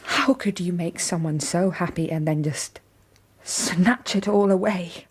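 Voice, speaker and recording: An actress in a British radio drama playing a wronged young gentlewoman, hurt, proud and indignant, with a breathy, half-whispered British voice. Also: on the low side, measured pace. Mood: heartbroken, bitter.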